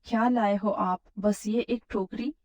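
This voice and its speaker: औरत की आवाज़ में सवाल करती व्यावहारिक ग्रामीण पत्नी. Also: female voice, mid-range voice, fairly even intonation, measured pace, a little mellow, natural voice. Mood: sceptical. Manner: direct, questioning. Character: practical, questioning village wife. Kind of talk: Hindi cartoon moral story acting.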